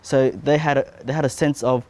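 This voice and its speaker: A young man explaining in an interview with deliberate, somewhat formal wording. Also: medium pitch, steady tone, a little mellow, no room sound. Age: young adult.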